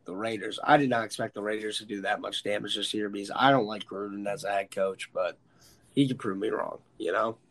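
A man's voice: lowish voice, steady tone, brisk, fairly bright tone. Congested American man talking sports, opinionated. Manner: opinionated, emphatic, repeats himself for emphasis. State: congested, stuffy nose, slightly hoarse. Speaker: sports podcast host. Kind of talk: casual, profane football chat.

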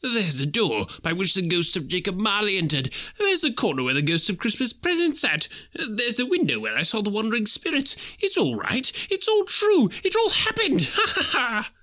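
Man reading as an elderly miser, high and breathless, wildly swinging pitch, excitable, storybook. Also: moderate pace, few pauses, heavily acted. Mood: giddy. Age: older. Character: old miser turned giddy and overjoyed.

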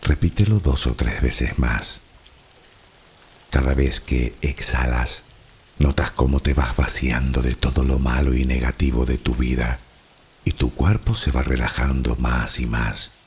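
A man from the Canary Islands with a Canarian Spanish accent, narrating a guided sleep relaxation in a soft, unhurried, soothing way. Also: extremely low pitch, fairly even intonation, slight background noise.

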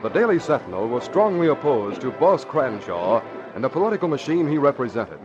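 A man's voice: mid-range voice, big pitch swings, fairly quick, over music. A man announcing in emphatic, dramatic 1940s radio style, reading scripted narration and ads. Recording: muffled old radio, faint hiss.

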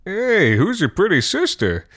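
A man voicing a hearty, cheeky old male ex-Marine who talks loud and jovial. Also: a little high, sing-song, normal speed, voice pushed up.

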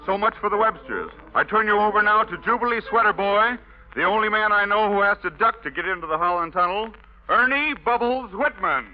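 A man's voice: high-pitched, lively intonation, a little fast. An American man announcing a 1940s radio variety show in playful jive-talk patter. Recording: dull vintage radio recording with loud music. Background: band music.

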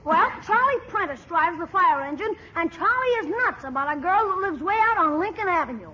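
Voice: very high-pitched, lively intonation, fairly quick, pushed and bright, exaggerated voice. An actor playing a cheeky, wisecracking American boy with brash, slangy delivery in a 1940s radio comedy, on a muffled noisy recording. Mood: cheeky, gossipy.

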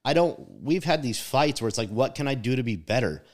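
A man with a mid-range voice, neither high nor low, talks through his views in a casual podcast chat. He is reflective and emphatic, often using "like" and tagging his points with "right?". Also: expressive, fairly quick.

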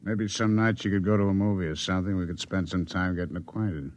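A man's voice: low voice, fairly even intonation, quick pace, darkish tone, close to an everyday voice. An actor playing a big, menacing government agent in an old-time radio drama, curt and commanding with tough-guy slang.

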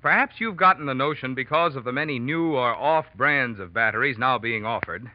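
A man's voice: medium pitch, a little fast, a little hiss or hum. A man working as a radio announcer, reading in the style of a 1940s commercial. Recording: dry, dull vintage radio recording.

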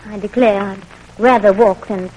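A woman's voice: medium pitch, steady tone, moderate pace, darkish tone, lightly acted, rough recording. Actress as a proud, prickly young woman. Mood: indignant, haughty. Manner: haughty, indignant. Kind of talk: old-time radio drama acting. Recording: old radio recording, faint noise.

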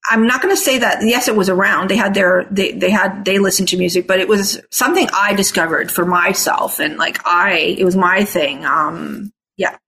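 A middle-aged woman musician who grew up in Toronto with Italian as her first language, answering interview questions in a halting, searching way with many restarts. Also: mid-range voice, a bit bright. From Canada, raised in Toronto. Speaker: singer and bassist, interview guest. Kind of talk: casual interview talk.

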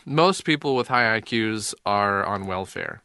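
A man's voice: on the low side, expressive, a little slow, pushed and bright, clean tone, no echo. A man co-hosting a casual comedic chat, dry and sardonic, often breaking into laughs.

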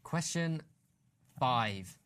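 A man's voice: medium pitch, lively intonation, extremely slow, fairly bright tone, smooth. A man acting as quizmaster on a football podcast, reading out questions and counting down.

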